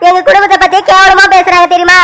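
A woman's voice: extremely high pitch, completely flat delivery, fast talker. A woman playing an aggrieved young wife who pleads and reproaches her husband in a family skit.

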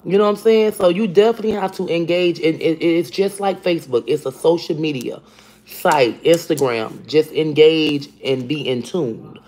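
A woman, chatting bluntly and profanely with viewers on a phone livestream from her kitchen. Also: normal speed. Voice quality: deep voice for a woman. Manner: blunt, profane, animated, talks to viewers by name. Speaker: livestream host. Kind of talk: casual livestream chat reading comments. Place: kitchen at home, indoors. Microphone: phone camera at arm's length.

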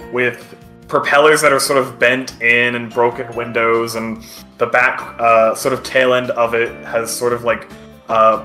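A man's voice: mid-range voice, sharp tone. A man narrating a tabletop game as game master, improvising descriptions in hesitant, casual wording.

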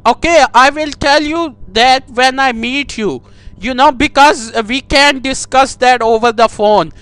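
A man making a comedic prank phone call, talking in a halting, coy, over-affectionate way. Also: very high-pitched, fairly bright tone, smooth, dry recording. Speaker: prank caller.

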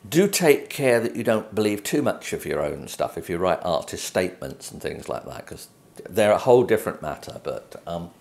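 A middle-aged man with an English accent talks through printing ideas in a loose, unscripted way. His pitch moves around a lot as he explains and points at things. The room around him is noticeably echoey, like a small home office heard through a camera mic. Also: big pitch swings, normal speed, vocal fry, a little mellow. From England. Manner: conversational, gestures at prints while explaining. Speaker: photography educator. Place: home office with bookshelves, indoors.

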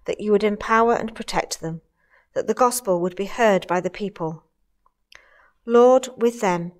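A middle-aged woman leading a solemn prayer in church, speaking in measured, reverent phrases. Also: medium pitch. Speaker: Salvation Army officer leading worship. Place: inside a church hall.

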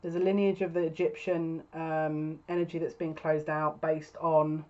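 A woman's voice: low voice, level tone, moderate pace, darkish tone. A woman in her thirties giving a casual, spoken tarot reading to camera as a psychic reader. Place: small furnished room at home, indoors. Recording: dull lo-fi webcam sound.

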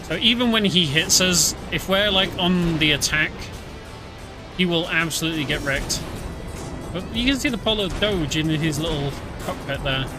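A man's voice: fairly high pitch, normal speed. Chatty man narrating a game. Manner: chatty, explains the story as he plays. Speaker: game streamer. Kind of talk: casual gameplay commentary. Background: game music.

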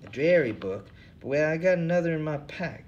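A man's voice: lively intonation, brisk, darkish tone, dull audio, slight background noise, lightly acted. A man voicing a weary, self-pitying male drifter with genteel airs. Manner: listless, self-pitying, raised pitch.

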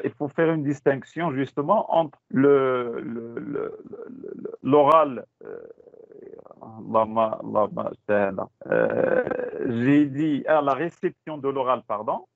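A Moroccan man whose first language is Tachelhit, giving a halting teaching talk on a video call, repeating words and slipping into Moroccan Arabic. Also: medium pitch, very animated, creaky, no room sound. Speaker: teacher trainer. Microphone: computer mic on a video call. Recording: dry, phone-like call audio.

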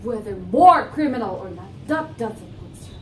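A woman's voice: a little high, expressive, normal speed, slight background noise, natural voice. A young woman playing a proud, accusing woman in a stage play, declaiming emphatically. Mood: contemptuous. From Philippines. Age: young adult. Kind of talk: theatrical stage acting of a classic play. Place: indoor living-room stage set. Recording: roomy, distant microphone, music behind.

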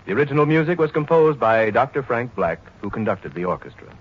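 A man with an American accent giving a formal, measured announcement as a 1940s radio network announcer. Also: medium pitch, very animated, breathy edge. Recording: dull 1940s radio recording.

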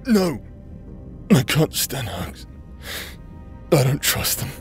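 A man acting a drunk, self-loathing, protective villain with a halting, foul-mouthed, emotional delivery in an audio roleplay. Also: mid-range voice, sing-song, soft background music. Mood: bitter, loving.

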